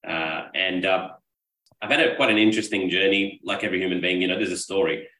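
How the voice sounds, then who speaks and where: Deep-voiced man, distant and roomy. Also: fast talker, sharp tone. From Pakistan. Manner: talkative, reflective, earnest. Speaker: podcast guest, business coach. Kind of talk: casual interview storytelling. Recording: roomy echo, distant mic.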